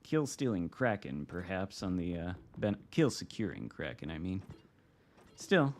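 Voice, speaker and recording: Low-voiced american guy streaming a game at home, chill and wry, faint background hiss. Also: unhurried. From Michigan. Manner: relaxed, wry, reacting to the game with mild swearing. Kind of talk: casual live-stream commentary. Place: home room.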